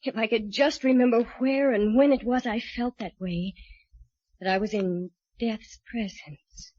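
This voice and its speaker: A determined, dread-haunted young woman in a vintage radio thriller. Her fairly high voice is earnest and halting. The old recording is close and dull. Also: fairly even intonation, warm tone, no echo. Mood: anxious, haunted. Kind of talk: 1940s radio acting.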